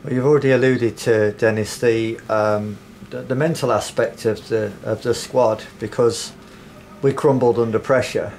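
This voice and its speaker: A man interviewing a football manager for the club's media, asking measured questions with some hesitation. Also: medium pitch, steady tone, a little mellow, slight background noise. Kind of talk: post-match interview questions.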